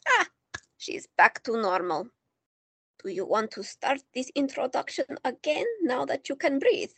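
A woman playing an older Greek-born artist and grandmother, calm and dryly teasing in an audio drama. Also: sits high, measured pace, slightly rough, dry recording, full character voice. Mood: wry. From Greece.

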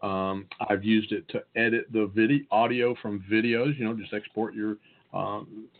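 A man's voice: fairly low pitch, steady tone, moderate pace. A man with a Southern US accent chatting casually as a podcast co-host, sharing tech tips. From Southern United States. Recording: phone-like, narrow-band audio.

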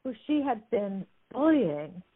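Woman retelling stories casually, kinda husky, thin phone-ish sound. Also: a little high, big pitch swings, takes it slowly. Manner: chatty, amused, many fillers. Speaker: guest discussing a book. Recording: tinny phone-like line.

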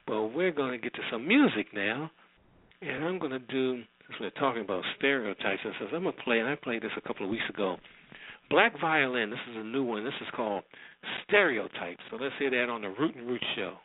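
A man's voice: medium pitch, animated, fairly quick. A man hosting a radio show with casual, rambling commentary, often repeating phrases for emphasis. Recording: roomy sound, thin phone-like audio.

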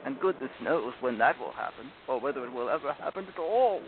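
A man's voice: medium pitch, animated, lightly acted. A man reading a storybook, voicing a guilty, plaintive fawn who confesses remorsefully. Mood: tearful dread. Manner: plaintive, sobbing, drawn-out confessing. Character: guilty, tearful, remorseful male fawn with horns. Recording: tinny phone-like audio with loud noise.

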